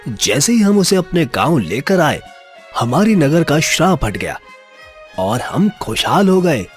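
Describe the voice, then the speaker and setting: A man voicing a stately, benevolent king in a cartoon moral story, measured, dignified and reassuring, with a husky voice. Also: fairly high pitch, big pitch swings, faint music behind. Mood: calm, gracious.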